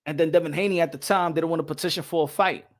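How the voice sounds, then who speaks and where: A man's voice: on the high side, fast talker. A man from the Dominican Republic hosting a boxing podcast, ranting heatedly on a live stream, reading chat, mimicking others and swearing. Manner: excitable, swears, mimics others, switches into Spanish.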